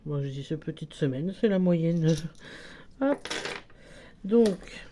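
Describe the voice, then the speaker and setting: Slow, mellow-voiced French woman with a cold. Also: low voice, animated, slight background noise. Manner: hesitant, many fillers and self-corrections, counts money aloud. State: has a cold. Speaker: budgeting vlogger. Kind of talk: casual talk while filling budget envelopes.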